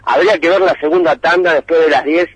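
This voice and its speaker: A man, speaking as a radio reporter over the phone. His voice sits slightly high for a man and is dry and close. He rambles through the details, stopping on frequent fillers. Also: fairly even intonation, fast talker, fairly bright tone, breathy edge, dry recording, poor audio. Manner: meandering, hesitant with many fillers.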